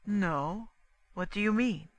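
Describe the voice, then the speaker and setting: A woman's voice: mid-range voice, slow pace, no room sound, voice pushed up. A woman voicing a distressed, defensive widower in an audiobook, with a wounded, protesting, melodramatic manner. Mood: shocked, indignant. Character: indignant, distressed widower, sorrowful and defensive man. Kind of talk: dramatic audiobook character dialogue.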